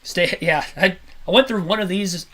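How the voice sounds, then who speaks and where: High-voiced hoarse man calling a race. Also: normal speed. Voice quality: slightly nasal and raspy. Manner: energetic, chatty, self-correcting. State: hoarse from sinus congestion. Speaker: live race commentator. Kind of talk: amateur play-by-play commentary over a race broadcast.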